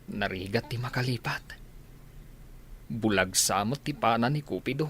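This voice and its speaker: A man's voice: mid-range voice, moderate pace, a bit bright, natural voice. A man acting in a radio drama as a heartbroken village farmer who stubbornly pines for his love. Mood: heartbroken, plaintive. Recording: noisy recording with background ambience.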